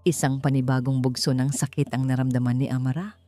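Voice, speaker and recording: A woman with a low voice narrates a scripted drama as a storyteller. Faint music plays behind her. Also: level tone, brisk, warm tone, no echo.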